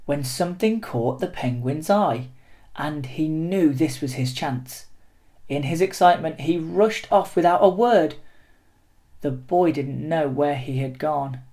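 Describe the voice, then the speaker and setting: A storyteller reading a children's picture book aloud in an expressive, deliberate and dramatic way for young listeners. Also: medium pitch.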